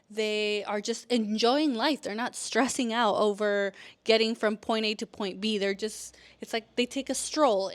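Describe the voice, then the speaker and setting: A woman in her thirties, born in El Salvador and raised in California, chatting casually to camera in a vlog, with lots of fillers and restarts. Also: a little high. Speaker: vlogger.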